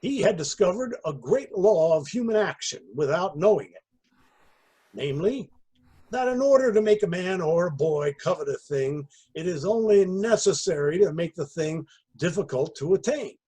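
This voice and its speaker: A man reading a literary story aloud as expressive narration, with deliberate storytelling pauses. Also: high voice, husky. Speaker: story reader.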